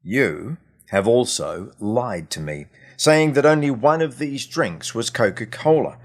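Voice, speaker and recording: A man's voice: mid-range voice, big pitch swings, a little slow, voice pushed up. A man voicing a frank, exacting teenage boy in a story, firm and methodical. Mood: firm, sure. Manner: firm, matter-of-fact, methodical.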